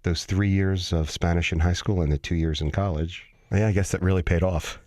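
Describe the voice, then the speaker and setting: An American man co-hosting a casual radio show, talking haltingly with many fillers and restarts. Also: sits low, steady tone, darkish tone, no room sound, studio quality. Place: radio studio.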